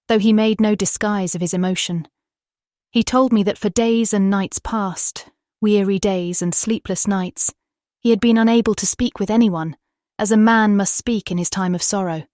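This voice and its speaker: Actress as tender British Victorian wife, dry recording. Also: female voice, mid-range voice, steady tone, moderate pace, darkish tone, dry recording, close to an everyday voice. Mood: compassionate. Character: tender, earnest young Victorian wife writing her diary. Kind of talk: dramatised audiobook diary narration.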